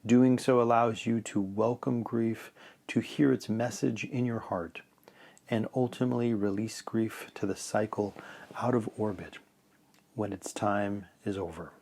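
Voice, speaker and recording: A man leading a guided meditation in a calm, measured way, letting phrase ends trail off softly. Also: lowish voice, vocal fry, husky.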